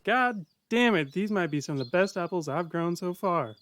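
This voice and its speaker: A man voicing an angry, stingy apple farmer in a story, gruff, indignant and given to cursing. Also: high-pitched, expressive, normal speed, a bit bright, voice pushed up. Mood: pleased amazement.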